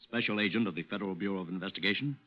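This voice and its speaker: An actor playing a methodical, businesslike federal agent, formal and politely probing, in 1940s radio style. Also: male voice, deep voice, rapid-fire. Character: businesslike, methodical male FBI special agent. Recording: muffled old-time radio recording.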